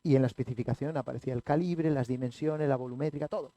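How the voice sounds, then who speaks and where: Mellow-voiced man presenting a book talk. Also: mid-range voice, steady tone, quick pace, no echo. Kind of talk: conversational discussion.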